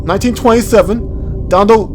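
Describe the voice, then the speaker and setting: Male let's-play host: high-pitched, informal, off-the-cuff commentary and read-alouds, light music underneath. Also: normal speed. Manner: informal, stumbles over unfamiliar words. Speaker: gaming video host. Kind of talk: casual game playthrough commentary, reading on-screen text aloud.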